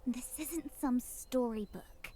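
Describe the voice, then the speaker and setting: A woman's voice: fairly high pitch, slow, a little hiss or hum. A woman playing a dreamy, awkward young female visitor in a visual novel, with a hesitant, musing manner. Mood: self-chiding. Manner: hesitant, soft-spoken, musing. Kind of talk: visual novel voice acting, inner monologue and dialogue.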